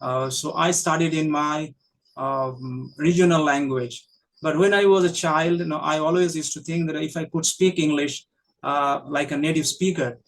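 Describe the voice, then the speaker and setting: Male English teacher hosting a chat, halting with many fillers, slightly higher voice. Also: fairly even intonation, rough recording. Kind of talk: casual conversational interview.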